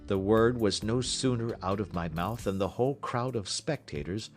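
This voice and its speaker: A man narrating a classic literary story as an audiobook, in a measured, expressive storytelling manner. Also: fairly low pitch, faint music behind.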